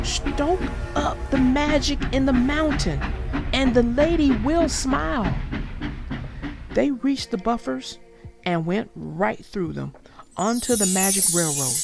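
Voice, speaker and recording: A woman narrating a scripted children's read-aloud as an expressive storyteller. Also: fairly high pitch, gravelly. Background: music.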